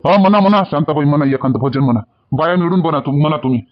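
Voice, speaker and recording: A man's voice: a little high, rapid-fire, dull audio, lightly acted. A man voicing a devout, cheerful village man in a cartoon, with a rural Vidarbha Marathi accent and a homely, repetitive preaching manner. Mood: encouraging. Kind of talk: amateur cartoon dialogue.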